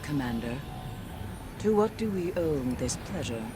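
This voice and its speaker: An actress playing a composed, dryly curious woman scholar in a video game, speaking in a measured, deliberate, pensive way. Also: low-pitched, big pitch swings, music in the background. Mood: cool, wry. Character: composed scholarly woman decrypting alien messages, dry and curious. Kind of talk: scripted game cutscene narration. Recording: clear background noise.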